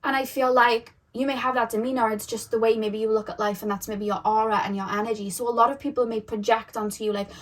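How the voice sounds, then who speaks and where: A woman's voice: fairly high pitch, steady tone, fast talker, few pauses. A woman giving a casual tarot reading to camera at home, chatty and musing aloud, repeating her questions to spirit guides. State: voice going a little deep. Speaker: tarot reader. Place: indoors at home.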